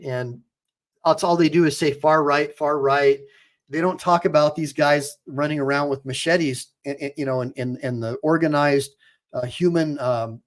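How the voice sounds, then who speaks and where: A man who is an attorney, hosting a casual livestream and talking straight to his viewers, repeating points emphatically with fillers and restarts. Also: mid-range voice. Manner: talks straight to viewers, reads chat aloud.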